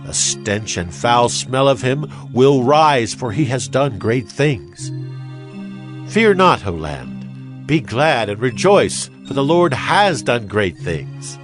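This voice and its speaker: A man's voice: medium pitch, sing-song, slow. A man giving a solemn, declamatory narration of scripture in a dramatized style. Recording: music under the voice. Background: music.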